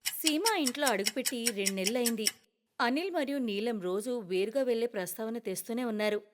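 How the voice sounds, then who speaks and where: A woman's voice: on the high side, very noisy. A woman reading scripted narration for a cartoon moral story.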